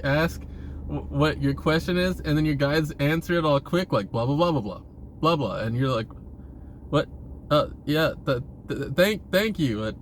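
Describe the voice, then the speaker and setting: A man giving a casual, rambling monologue to viewers, halting and repeating himself, with informal, sweary phrasing. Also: a little high, a little hiss or hum. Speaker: vlogger.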